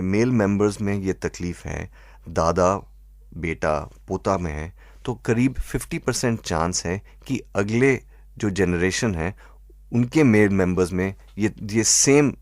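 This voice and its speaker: A man with an Indian accent answering as an expert doctor in an interview, measured and methodical, mixing in English phrases. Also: fairly low pitch, a little mellow, no echo, clean studio sound. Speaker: eye doctor, expert guest.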